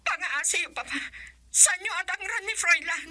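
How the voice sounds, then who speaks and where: A woman's voice: very high voice, moderate pace, a crowd in the background. A Filipino woman playing a young daughter in love in a radio drama, earnest, pleading and defiant.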